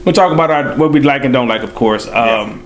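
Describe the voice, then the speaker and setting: A young man with a hoarse voice co-hosting a casual sports chat, laid-back and laughing often. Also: medium pitch, quick pace, slight background noise. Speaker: podcast co-host.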